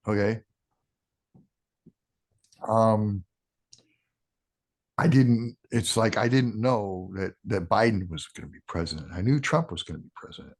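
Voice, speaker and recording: A man in his sixties, a podcast guest talking casually in a halting way, restarting sentences with ums. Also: fairly low pitch. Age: 60s. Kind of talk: casual interview talk.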